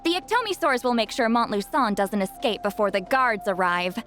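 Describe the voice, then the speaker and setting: A woman's voice: fairly high pitch, big pitch swings, normal speed, fairly bright tone, faint music behind. A woman playing an aloof, self-assured, slightly prickly young shaman in a video game, with a dry, offhand delivery. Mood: smug, explaining.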